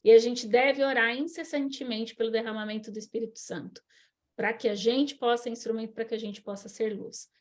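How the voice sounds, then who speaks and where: High-voiced Brazilian woman hosting. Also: steady tone, fairly quick. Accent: Brazilian Portuguese. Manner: warm encouraging preacherly, addresses listeners as queridos. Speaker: host. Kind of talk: online church health class talk.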